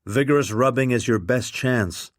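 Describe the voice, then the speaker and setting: A sober, practical captain who lays out grim facts without fuss, as a man narrating a storybook puts him on. The delivery is level and measured, recorded close and dry. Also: medium pitch, dry recording, natural voice. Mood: grave. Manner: measured, matter-of-fact. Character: calm, practical ship's captain tending wounds.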